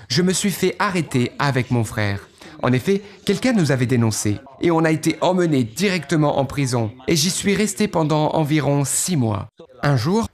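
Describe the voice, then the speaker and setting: A man giving an earnest first-person testimony, storytelling with dramatic pauses as he recounts what he thought and felt. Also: on the high side.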